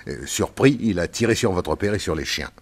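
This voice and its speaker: An actor playing a solemn small-town sheriff who speaks hesitantly with fillers, in an old radio drama. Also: male voice, deep voice, expressive, a little fast, husky, exaggerated voice.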